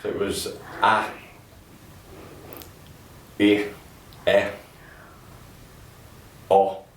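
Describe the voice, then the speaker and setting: A man in the audience giving brief, low-key answers when asked. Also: completely flat delivery, very slow, roomy sound, low-quality recording. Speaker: audience participant.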